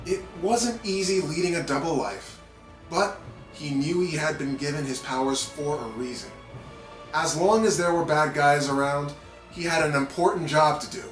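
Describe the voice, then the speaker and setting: A man reading a children's story aloud in an animated narrating style with playful asides. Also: fairly high pitch, normal speed. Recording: roomy echo with background music.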